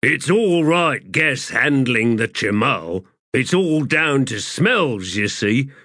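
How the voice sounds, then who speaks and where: A man's voice: mid-range voice, big pitch swings, slow pace, bright, forceful tone, no echo, studio quality, voice pushed up, heavily acted. A man doing Grub, an odd offhand boy. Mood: musing. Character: odd calm boy with strange wisdom.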